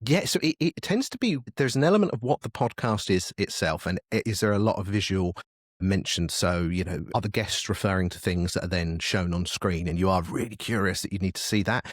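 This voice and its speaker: A man's voice: deep voice, brisk, dry recording, clean studio sound. A man talking as a podcast guest, explaining things informally and thinking aloud in casual conversation. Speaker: podcast guest, media industry professional. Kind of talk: casual interview chat.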